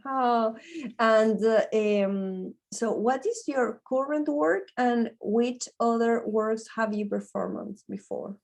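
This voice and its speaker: A woman hosting an interview in English, asking halting questions full of ums. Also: fairly high pitch, creaky, a little mellow. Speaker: host. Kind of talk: casual interview.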